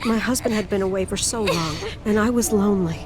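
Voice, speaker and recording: An actress playing a gentle, protective middle-aged adoptive mother in a video game, recounting her past in a measured, reflective way. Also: medium pitch, lightly acted, low-quality recording. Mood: wistful. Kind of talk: dramatic game cutscene narration of memories.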